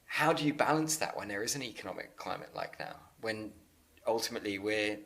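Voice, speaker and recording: A man in a conversational interview, speaking earnestly and haltingly, often repeating words as he works through a thought. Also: lowish voice, expressive, creaky, a bit bright. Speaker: interviewer and business leader.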